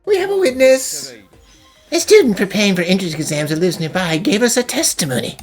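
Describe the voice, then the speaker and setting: A woman voicing a haughty, brusque chief police inspector in an animated fan dub, pompous and declamatory. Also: mid-range voice, fairly quick, faint music behind, natural voice. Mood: accusing.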